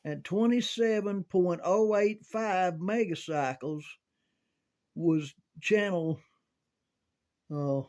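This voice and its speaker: An older man telling casual, rambling recollections in a halting, folksy way, as if thinking aloud. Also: sits high, a little mellow. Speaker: amateur storyteller reminiscing about CB radio.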